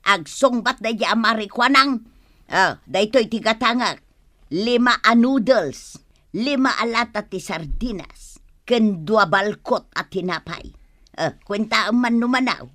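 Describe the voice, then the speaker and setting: A feisty woman shopper from a radio comedy drama. Her voice is very high and bright, with slow, lively, exclaiming delivery that challenges whoever she addresses. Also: no room sound, studio quality. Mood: gossipy, brisk. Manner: exclaiming, confrontational. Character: quarrelsome female customer and mother, indignant, scolding.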